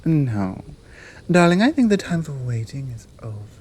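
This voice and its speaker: A woman with a British accent playing a seductive, commanding monster woman in an intimate ASMR roleplay, teasing and often dropping into a breathy whisper. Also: extremely low pitch, very animated, moderate pace, a little mellow, heavily acted. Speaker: audio roleplay performer.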